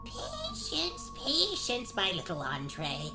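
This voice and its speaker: A woman's voice: on the high side, wildly swooping pitch, takes it slowly, music in the background, full character voice. A woman voicing a crabby, half-blind old witch in a comedic radio play, sly, blustering and snappish. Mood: sly, wheedling. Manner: wheedling endearments, flustered bluster, snappish. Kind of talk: comedic radio play, gag-dub cartoon acting.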